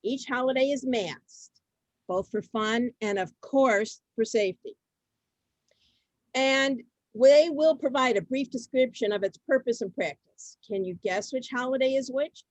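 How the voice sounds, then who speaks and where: A woman's voice: a little high, unhurried, dry recording. A woman hosting an amateur comic readers' theater, moving between scripted lines and ad-libs. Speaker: host of a comic contest.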